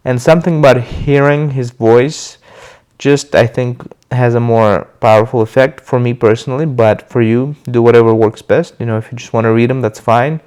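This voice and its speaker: Casting note: man, mid pitch, stuffy from a cold, easy unscripted talk with frequent fillers. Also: moderate pace, clear, resonant tone. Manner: relaxed, loose, with many uh fillers and restarts. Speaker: video host sharing his recovery. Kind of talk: casual talk to camera.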